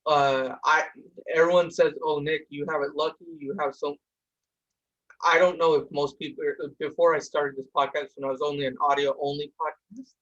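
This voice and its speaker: A man's voice: on the high side, flat delivery, a bit bright, highs cut off. A young man hosting a podcast from a room at home, talking heatedly with many restarts. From India. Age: young adult. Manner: heated, talks fast with restarts. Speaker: podcast host. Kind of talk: casual discussion.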